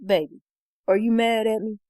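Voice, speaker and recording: A woman voicing a calm, teasing husband who gives slow, firm commands in a story. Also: a little high, no room sound, studio quality, natural voice. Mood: taunting.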